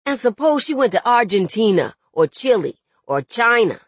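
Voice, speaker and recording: A woman's voice: fairly low pitch, slow, no echo. A woman voicing a desperate young man in jail in an audiobook, angry and tender by turns, with urgent, streetwise phrasing. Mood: agitated, angry. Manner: urgent, profane, vernacular phrasing. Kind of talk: dramatic audiobook character dialogue.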